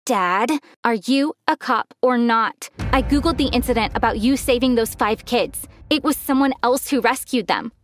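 Woman as a distressed, high-voiced demanding daughter. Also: normal speed, few pauses, lightly acted. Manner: emotional, insistent. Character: distressed, demanding daughter confronting her lying father. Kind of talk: dramatized storytelling dialogue.

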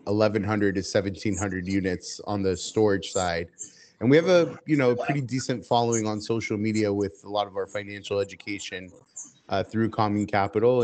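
A man who grew up in the United States speaking Hebrew first, answering interview questions at length in a measured, steady way with frequent "uh" fillers. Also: fairly low pitch, a little hiss or hum. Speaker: interview guest.

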